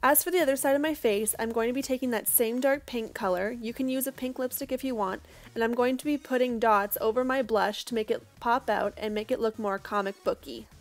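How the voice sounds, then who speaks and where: A young woman, hoarse from losing her voice, giving a casual step-by-step makeup tutorial voice-over. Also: fairly high pitch, brisk, few pauses, faint music behind. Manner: casual, chatty, reassuring.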